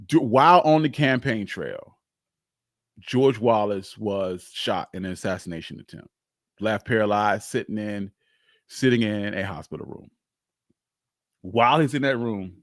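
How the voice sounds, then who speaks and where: A man's voice: mid-range voice, dry recording, studio quality. A man hosting a live history show, telling stories in an informal, rambling way with plenty of slang. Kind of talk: casual live history storytelling.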